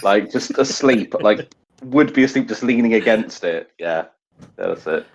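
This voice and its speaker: A man's voice: fairly low pitch, expressive, a little slow. A man playing a tabletop roleplay game, improvising comic narration in a casual, rambling way. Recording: dull, lo-fi game recording.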